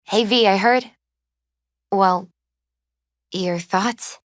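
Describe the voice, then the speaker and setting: A woman voicing a gentle, mystical young tarot reader in a video game, soft-spoken, measured and earnest, with a foreboding manner. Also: medium pitch, no echo, studio quality. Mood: casual, caring. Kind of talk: video game character dialogue.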